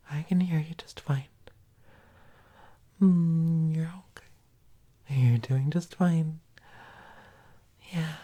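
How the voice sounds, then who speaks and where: Woman doing a gentle comforting girlfriend type for asmr roleplay, soft breathy near whisper, really mellow and slow, soothing. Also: sits low. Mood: gentle, reassuring. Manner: gentle, soothing, unhurried, with pauses. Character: tender, reassuring partner comforting an anxious loved one.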